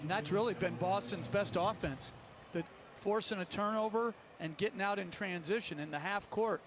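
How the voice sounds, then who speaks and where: A man's voice: sits high, normal speed. A man giving conversational commentary as an analyst on a basketball broadcast. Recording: noisy game broadcast with arena ambience.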